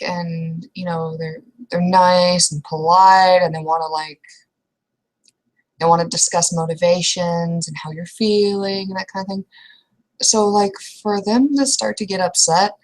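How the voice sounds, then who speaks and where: Lower-pitched young woman, hesitant and casual. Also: poor audio. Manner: casual, hesitant, trailing restarts with mild swearing. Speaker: roleplay player. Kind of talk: improvised in-character roleplay conversation.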